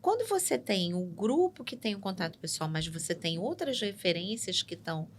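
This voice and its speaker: A woman psychologist, explaining ideas in a measured way on a studio podcast and often checking in with "né?". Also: medium pitch, sing-song, slight background noise. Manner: measured and explanatory, tags points with "né?". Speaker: psychologist guest. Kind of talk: explanatory interview talk.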